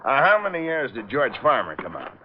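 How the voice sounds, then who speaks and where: An actor playing an even-tempered, courteous American police lieutenant asking understated questions in an old-time radio drama. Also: male voice, medium pitch, a little fast, background noise, lightly acted. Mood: polite, businesslike. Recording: dull vintage radio broadcast recording.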